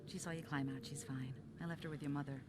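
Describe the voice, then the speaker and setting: An actress playing a supportive, level-headed driver's wife in a game drama, speaking softly and reassuringly. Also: female voice, sits low, fairly even intonation, fast, very noisy, natural voice. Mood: gentle, reassuring. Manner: soft, reassuring.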